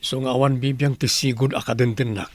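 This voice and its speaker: A man's voice: medium pitch, fairly even intonation, brisk, dry recording, lightly acted. A man playing a considerate but firm household employer in an audio drama. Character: considerate male household employer, firm about his family.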